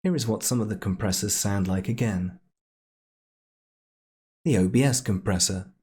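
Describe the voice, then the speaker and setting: A man presenting a casual software tutorial in a playful, chatty way with jokey asides. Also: fairly low pitch, moderate pace, breathy edge.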